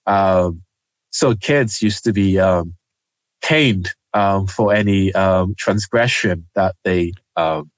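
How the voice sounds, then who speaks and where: A young man from Nigeria speaks in a somewhat low voice, thoughtful and halting, filling pauses with ums. The recording is dry and close, with no room sound. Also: no echo. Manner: hesitant, many ums, soft-spoken. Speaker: interview guest, journalist. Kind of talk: reflective conversational interview.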